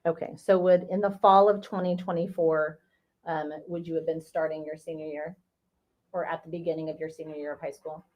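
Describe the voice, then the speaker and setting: A woman's voice: low-pitched, flat delivery, brisk, darkish tone. A woman attorney questioning a witness in court, asking patient, methodical questions. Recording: dull, lo-fi courtroom feed.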